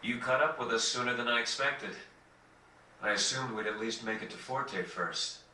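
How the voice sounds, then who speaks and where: A man playing a composed, courteous young nobleman in a video game cutscene, with measured, formal speech. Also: mid-range voice, sharp tone, reverberant, distant mic, slight background noise, low-quality recording. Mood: composed, cautious.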